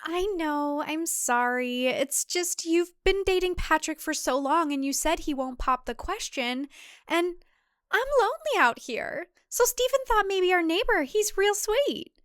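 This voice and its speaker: Woman voicing a high, sweet, submissive farm wife. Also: expressive, a little slow, a bit bright, no room sound, clean studio sound, heavily acted. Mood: apologetic, wistful. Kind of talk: audio fiction character dialogue.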